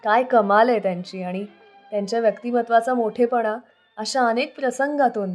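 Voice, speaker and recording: A woman's voice: on the high side, fairly even intonation, normal speed. A woman hosting a radio show, narrating scripted stories about old songs. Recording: music playing under the voice. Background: background music.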